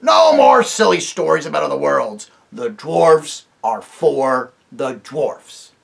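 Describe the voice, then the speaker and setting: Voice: medium pitch, sing-song, slow, vocal fry, exaggerated voice. A man voicing a group of mocking male dwarfs, chanting in a storybook reading. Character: crowd of jeering male dwarfs.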